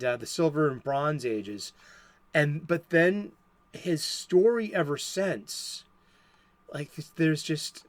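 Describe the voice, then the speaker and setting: A man's voice: fairly high pitch, no echo. A man with a Boston accent hosting a casual solo comic book talk show, rambling with many fillers and restarts. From Boston area, USA. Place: home studio.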